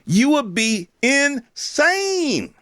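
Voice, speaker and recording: A man's voice: extremely high pitch, big pitch swings, very slow, fairly bright tone, no echo, clean studio sound. An American man in his fifties giving a casual, opinionated solo monologue in emphatic, slangy, conversational speech. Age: 50s. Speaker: podcast host.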